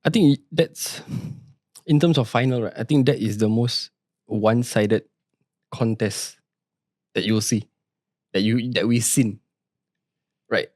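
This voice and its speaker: A man's voice: medium pitch, slow, long pauses, dry recording, clean studio sound. Eager man in football chat. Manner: eager, repeats himself when excited. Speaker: podcast co-host.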